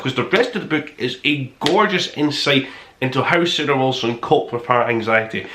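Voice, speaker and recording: A man with a bright, forward voice and a middling pitch talks in a roomy, echoing indoor space. He presents a casual book review as the host, enthusiastic and effusive. His delivery is conversational and rambles along as if chatting straight to the viewer. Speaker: podcast host reviewing books. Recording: roomy, echoing room sound.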